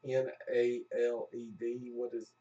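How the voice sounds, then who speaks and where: A man's voice: medium pitch, completely flat delivery, a little slow, warm tone, recorded from a distance, muffled recording. A man hosting a live stream with casual, jokey banter and storytelling.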